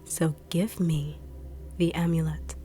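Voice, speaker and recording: A woman voicing a greedy bodyguard turned villain who taunts with cold, quiet menace. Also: deep voice, flat delivery, takes it slowly. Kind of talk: audio roleplay acting.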